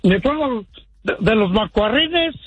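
Husky high-pitched Mexican male caller. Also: expressive, a bit bright, no room sound, low-quality recording. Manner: rambling, halting, friendly. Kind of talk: casual phone-in chat.

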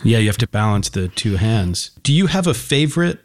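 A man's voice: lowish voice, big pitch swings, brisk. A man speaking as an encouraging, complimentary host, asking simple guiding questions in a conversational broadcast interview. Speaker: host interviewing a young musician.

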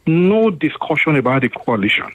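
A man calls in to a radio show over a thin, phone-like line, his voice husky and a little breathy. He speaks earnestly and builds his emphasis as he makes his points. He was born abroad. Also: a little high, sing-song, normal speed. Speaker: caller. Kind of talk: talkback radio call.

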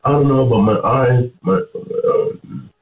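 A man's voice: medium pitch, measured pace. A man calling in to a prayer show, sick with a cough and stuffy nose and losing his voice, repeating a prayer phrase by phrase. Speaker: regular caller. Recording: phone line.

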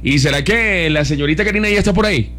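A man's voice: fairly high pitch, fast talker, a bit bright. A man with a Colombian accent, co-hosting live radio in casual banter. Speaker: radio co-host. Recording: music playing behind the voice. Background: background music.

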